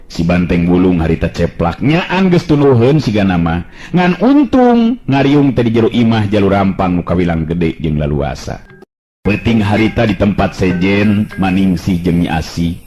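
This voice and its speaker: Low-voiced Sundanese storyteller. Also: male voice. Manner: calm, measured storytelling. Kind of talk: traditional folk tale narration.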